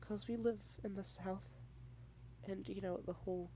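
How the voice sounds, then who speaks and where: A woman speaking softly in a close-mic ASMR girlfriend roleplay, shy and rambling, with halting repeated starts and frequent half-whispers. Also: mid-range voice, fairly even intonation, slight background noise. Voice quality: soft, often half-whispered.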